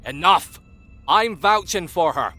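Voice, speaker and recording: A man's voice: sits high, animated, slow pace, pushed and bright, soft background music, full character voice. A man voicing a bold, protective young male pony in an audio drama, firm and defiant. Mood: angry, firm. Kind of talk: fan audio drama acting.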